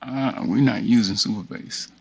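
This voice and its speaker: A man's voice: mid-range voice, normal speed, gravelly, darkish tone, husky, no echo, highs cut off. A man hosting a casual tutorial, reading a document aloud and muttering offhand asides to himself as he works. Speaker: tutorial host. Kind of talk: casual screen-share walkthrough, reading a document aloud with off-the-cuff remarks.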